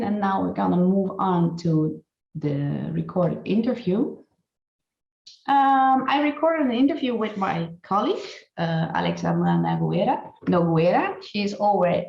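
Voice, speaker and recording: A woman giving an online presentation and interviewing a colleague, halting and full of fillers. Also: a little high, vocal fry, a little mellow. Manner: hesitant, many fillers and restarts. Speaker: presenter and recruiter. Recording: dull, roomy online call audio.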